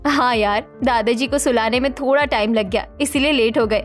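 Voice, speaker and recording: A woman voicing a freedom-loving, scheming young wife in cartoon story dialogue, in a chatty, expressive way. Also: on the high side, normal speed, faint music behind, natural voice. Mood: persuasive.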